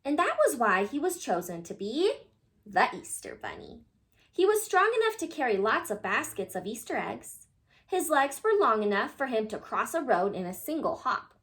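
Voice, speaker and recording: A woman reading a children's picture book aloud in an animated, expressive way, addressing young listeners. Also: fairly high pitch, normal speed.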